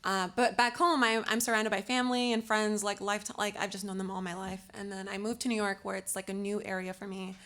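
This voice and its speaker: A woman's voice: mid-range voice, flat delivery, fast talker, few pauses, fairly bright tone. A young woman from Los Angeles speaking on a panel, hesitant, with many fillers and restarts. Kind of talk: casual panel discussion.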